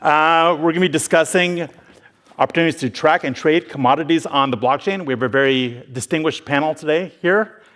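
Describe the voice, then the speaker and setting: A man's voice: on the high side, a little fast, a bit bright. A man moderating a live panel in a casual, conversational way.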